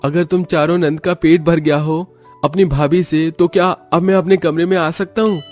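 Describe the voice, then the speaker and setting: A woman acts out a young groom in a family cartoon. The voice drops lower, wry and mildly teasing, with music behind it. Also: steady tone, normal speed, a little mellow, natural voice. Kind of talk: cartoon family story acting.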